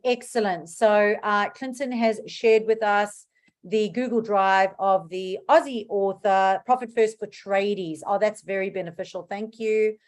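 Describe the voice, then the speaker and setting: South African woman coaching, emphatic. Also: mid-range voice. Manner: emphatic, repeats key words for stress. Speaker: business coach hosting a book club class. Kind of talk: informal online class talk.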